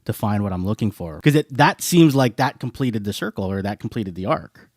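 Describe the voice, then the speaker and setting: A man's voice: fairly low pitch, expressive, fairly quick, a little mellow, no echo, studio quality. A man interviewing a band, attentive, asking about a song lyric. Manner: attentive, brief affirmations. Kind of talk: interview conversation.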